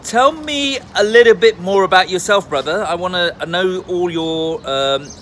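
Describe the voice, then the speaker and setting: A man's voice: high voice, moderate pace, fairly bright tone, a little hiss or hum. A man hosting a casual conversational interview, asking probing questions in an informal, chummy way. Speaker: interviewer and channel host. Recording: music playing behind the voice. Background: music.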